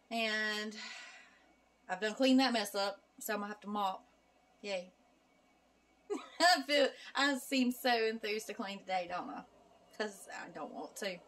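A woman's voice: mid-range voice, a bit bright. A woman, chatting casually to viewers at home in a vlog and product review. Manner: chatty, folksy, addresses viewers as y'all. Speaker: vlogger. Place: home kitchen and living room.

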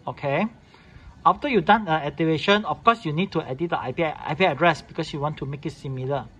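A man's voice: a little high, fast talker, sharp tone. A man gives an informal, unscripted step-by-step device demonstration in clipped, repetitive phrasing. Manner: repetitive clipped phrasing in non-standard English. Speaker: tutorial host showing a device setup. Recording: clearly noisy room recording.

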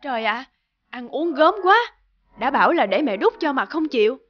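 A woman playing a harried, indulgent and easily exasperated mother in an animated cartoon. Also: sits high, normal speed, dry recording, lo-fi sound. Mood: fussing. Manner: fretful, exasperated. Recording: background music under the dialogue.

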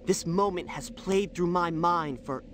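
An actor playing an earnest, reverent young earthbender with formal speech in an animated game. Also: medium pitch, a little slow, slight background noise, close to an everyday voice. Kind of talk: animated game cutscene acting.

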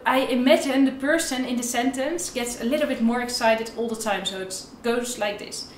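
A woman's voice: on the high side, normal speed, far from the mic, slight background noise. Young woman teaching, echoey room. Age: young adult. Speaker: violin teacher. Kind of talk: instructional tutorial talk, explaining to camera. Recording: roomy, echoey indoor sound.

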